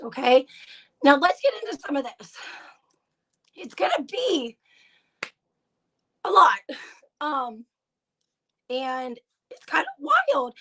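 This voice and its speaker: A woman hosting a casual live stream, hoarse from laryngitis, chatting in a confiding way and often clearing her throat. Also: sits high, pushed and bright, dry recording, low-quality recording. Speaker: gossip channel host.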